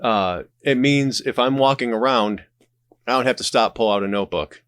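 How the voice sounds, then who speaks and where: A man's voice: mid-range voice, normal speed, fairly bright tone, smooth, dry recording, clean studio sound. A man speaking as a podcast guest, explaining his creative process in casual conversation. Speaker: podcast guest, writer and audio drama creator.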